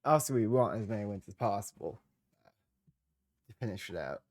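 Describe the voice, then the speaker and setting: A man's voice: lowish voice, lively intonation, a little fast, darkish tone. Congested man in casual game commentary. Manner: laid-back, trailing off, muttering asides. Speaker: game streamer.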